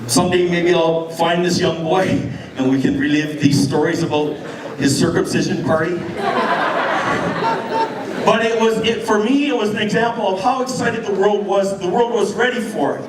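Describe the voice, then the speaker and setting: A middle-aged Canadian man telling a story live to an audience in an animated, slangy, informal way. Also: sits high, moderate pace. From Canada, near Detroit on the Canadian side. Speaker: b-boy storyteller. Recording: echoey hall from far off, crowd around. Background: audience crowd and laughter.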